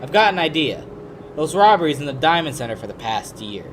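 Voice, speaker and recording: A young actor playing a sardonic, pushy lawyer in a 1940s-style radio detective play. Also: male voice, on the high side, big pitch swings, fairly quick, background noise. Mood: excited, urgent. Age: young adult. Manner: wisecracking, hard-boiled. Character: sardonic, pushy male lawyer turned investigator. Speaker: high school actor.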